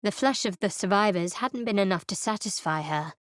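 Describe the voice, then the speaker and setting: A woman's voice: medium pitch, a little fast, no echo, natural voice. An actress playing a guarded, sardonic young woman cleric in a studio game, in a dry, cutting manner, also narrating. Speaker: game character.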